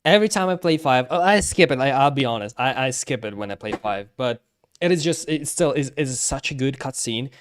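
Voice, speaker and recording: A man livestreaming casual commentary on game cutscenes, talking haltingly and often repeating himself. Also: mid-range voice, lively intonation. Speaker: streamer.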